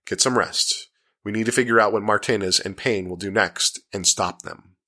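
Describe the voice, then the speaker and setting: A man's voice: on the low side, fairly even intonation, normal speed, pushed and bright. Man reading as a stern, curt commander. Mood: firm. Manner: firm, curt, authoritative. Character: stern, guarded male commander of covert agents.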